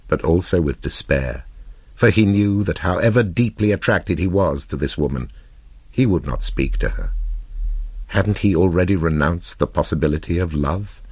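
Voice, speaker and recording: Male narrator for literary fiction: low, slightly husky voice, measured and expressive, with deliberate pauses. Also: dry recording. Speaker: narrator reading a short story.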